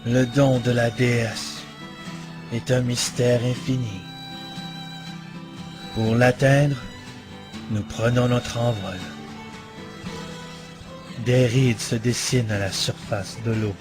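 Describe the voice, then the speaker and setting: Un homme jouant en français un jeune guerrier de jeu vidéo fier et assoiffé d'héroïsme, au ton hautain et déclamatoire. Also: male voice, medium pitch, steady tone, slow, long pauses, music in the background, lightly acted. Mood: solemn, lyrical. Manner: poetic, declamatory, haughty. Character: proud, theatrical young male warrior hungry for heroism. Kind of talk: French fan dub of a video game.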